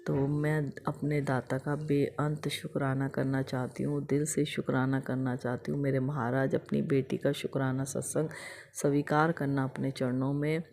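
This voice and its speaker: A middle-aged woman with a hoarse, gravelly voice gives an emotional devotional testimony of gratitude. Her tone is dark and mellow, and she speaks with little rise and fall in pitch, repeating herself like a chant. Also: flat delivery, moderate pace. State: hoarse throat.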